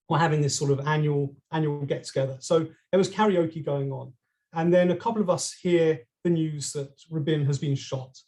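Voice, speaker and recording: A middle-aged man with a London accent speaks as a guest on a conversational podcast. His voice sits a little high for a man, and he tells his story in a hesitant, unpolished way, full of ums and restarted phrases. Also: darkish tone. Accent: British, London. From England.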